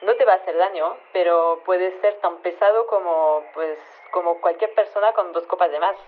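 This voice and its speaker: Radio drama: apologetic female scientist, casual and deadpan, heard through a thin telephone line. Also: lowish voice, moderate pace. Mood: matter-of-fact. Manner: casual, hesitant, deadpan explaining. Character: apologetic, matter-of-fact woman scientist calling a radio show. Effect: phone line filter. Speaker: interviewed virology expert. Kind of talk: naturalistic audio fiction radio interview. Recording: tinny narrow phone line.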